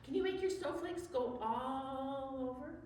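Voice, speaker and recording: A woman's voice: high-pitched, measured pace, a little mellow. A woman hosting an interactive children's storytime, encouraging and full of questions for her young listeners. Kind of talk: interactive storytime talk with slow sung finger play. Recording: very echoey room, distant mic, faint noise.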